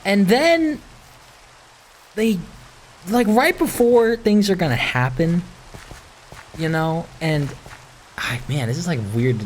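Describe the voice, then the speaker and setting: A man hosting a gaming video, rambling casually in a halting, self-conscious way with some swearing. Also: on the high side, a bit bright, a little hiss or hum. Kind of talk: casual rambling chat while playing.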